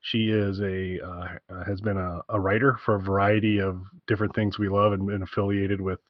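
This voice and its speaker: Male podcast co-host, low voice, enthusiastic and rambling, slightly dull close dry sound. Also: little pitch movement, a little fast, dry recording. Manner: enthusiastic, rambling, repeats himself. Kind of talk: casual podcast chat. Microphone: internet call recording.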